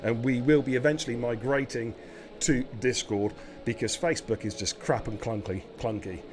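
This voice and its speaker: A man with a slightly croaky voice commentating a live sim race, chatty and given to repeating himself. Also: mid-range voice, steady tone, moderate pace, a crowd in the background. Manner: chatty, laughs easily, stumbles and repeats words. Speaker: lead sim racing commentator.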